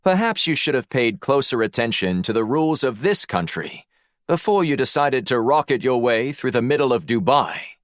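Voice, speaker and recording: A male narrator plays a formal police officer questioning with stern authority. The delivery is measured and unamused, with expressive pitch movement and a close, dry sound. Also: no room sound, close to an everyday voice. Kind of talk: audiobook character dialogue.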